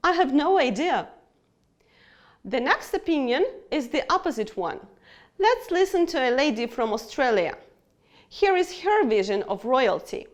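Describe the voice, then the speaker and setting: A woman with a high voice and very lively intonation presents a scripted English lesson. She speaks in an instructional way, putting questions to her students and guiding them through the material. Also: a little slow. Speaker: English teacher. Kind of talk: scripted online lesson narration.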